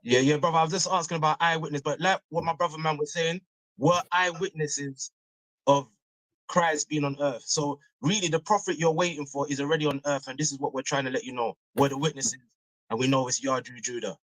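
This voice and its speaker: A man with a fairly high voice, recorded dry and close. He asks his questions politely but keeps pressing for a straight answer, calling the other man brother. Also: steady tone, brisk, fairly bright tone, dry recording, rough recording. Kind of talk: religious debate.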